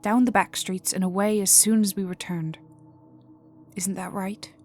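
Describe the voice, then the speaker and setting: A woman playing a cold, haughty vampire aristocrat in a dark ASMR roleplay, condescending and teasing, often dropping to a whisper. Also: mid-range voice, steady tone, measured pace, close to an everyday voice. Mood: coolly amused. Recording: voice over dark ambient music. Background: dark atmospheric music with resonant tones.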